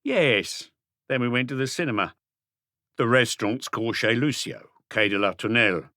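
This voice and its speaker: A man's voice: medium pitch, normal speed, sharp tone, dry recording, studio quality, natural voice. A man voicing a shifty thirty-five-year-old small-time crook, defensive and evasive, in an audiobook mystery. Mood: evasive, indignant.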